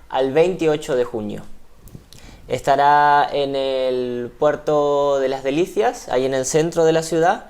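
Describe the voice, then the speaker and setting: Argentine man explaining, slightly high voice. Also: darkish tone. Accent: Argentine Spanish. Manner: hesitant, explanatory, many fillers. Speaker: guest, ship crew member. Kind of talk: TV interview.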